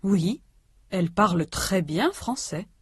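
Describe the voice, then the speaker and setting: A woman reading a language course aloud, with slow, careful and precise enunciation. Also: mid-range voice, animated, slightly rough, dry recording, studio quality. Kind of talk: scripted instructional reading.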